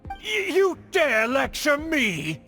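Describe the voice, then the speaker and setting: A man's voice: very high-pitched, wildly swooping pitch, takes it slowly, sharp tone, soft background music, full character voice. A man playing a pompous, indignant older noble uncle in a video game, haughty, formal and theatrical. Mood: aghast.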